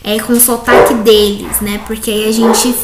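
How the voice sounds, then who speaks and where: A Brazilian woman, an English teacher, correcting textbook exercises in a recorded class, reading questions slowly and explaining with frequent tag questions. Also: a little high, level tone, over loud music. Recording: echoey room, faint background noise.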